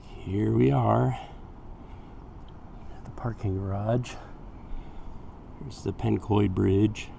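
A man's voice: lowish voice, slow pace, vocal fry, long pauses. Laid-back man narrating a city walk. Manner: relaxed, chatty, points out sights as he walks. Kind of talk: casual walking tour commentary. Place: outdoors on city streets. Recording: outdoor street noise on camera mic. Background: traffic and city noise.

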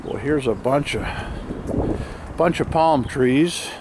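A man of medium pitch narrates casually as he walks around outdoors with a handheld camera. His commentary is musing and observational, made up on the spot. Clear ambient noise sits behind his voice. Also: slow pace. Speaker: vlogger filming an exploration of an abandoned motel. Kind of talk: casual off-the-cuff walking narration. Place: outdoors, walking around a derelict motel lot. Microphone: handheld camera microphone, operator behind camera.

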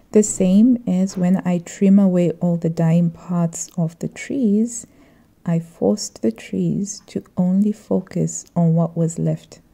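A middle-aged woman narrating a reflective vlog voice-over in a measured, earnest way. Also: lowish voice, creaky, warm tone. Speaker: vlogger.

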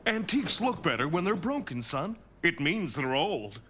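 A man's voice: a little high, big pitch swings, moderate pace, noisy background. A man voicing a pompous, wealthy middle-aged father in a children's cartoon, grandly showing off. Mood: proud. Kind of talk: animated children's cartoon acting.

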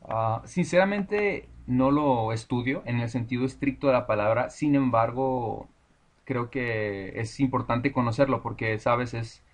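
A Mexican man being interviewed, speaking Spanish with a Mexican accent in a thoughtful, hesitant way, often restarting his words. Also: medium pitch. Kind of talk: casual conversation.

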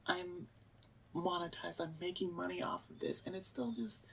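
A woman's voice: lowish voice, normal speed, vocal fry, far from the mic, a little hiss or hum, poor audio. A woman chatting casually to her listeners in an outro, close on the mic, correcting herself and repeating words for emphasis. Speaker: audio roleplay creator and voice actor. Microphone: close studio-style microphone, seated.

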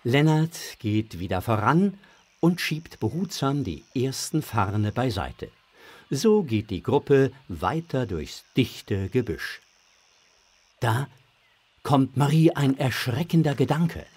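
A man narrating a children's adventure story from a script in a suspenseful, measured way. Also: medium pitch, big pitch swings, darkish tone, husky.

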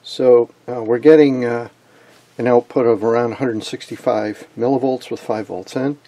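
A man presenting an unscripted technical demonstration in a halting, matter-of-fact way. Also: medium pitch, fairly even intonation, mellow. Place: indoors at an electronics workbench.

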